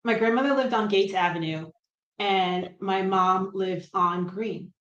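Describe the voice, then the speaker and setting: A middle-aged woman from New Jersey with a light Brooklyn accent, a comic writer chatting as a guest, self-deprecating and quick to laugh. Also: mid-range voice, steady tone, fairly bright tone, lo-fi sound. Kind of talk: casual interview chat. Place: room at home. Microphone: video call. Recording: roomy echo on a video call.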